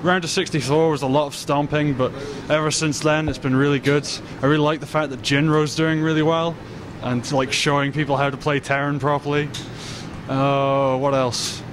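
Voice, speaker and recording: A man's voice: a little high, level tone, moderate pace, noisy background. A young man, a guest at a gamer roundtable, speaking offhand and joking into a handheld mic. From Scotland. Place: small restaurant.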